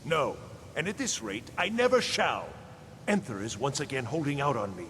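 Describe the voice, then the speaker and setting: An actor playing an agitated, self-important male mage in a video game, dramatic and halting. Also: very animated, a bit bright. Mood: frustrated, urgent. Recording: echoey long reverb, faint rumble.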